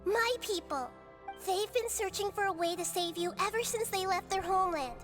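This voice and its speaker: A woman voicing a calm, wise young girl goddess in a video game, speaking softly and earnestly. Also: very high voice, over music. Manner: soft, measured, earnest.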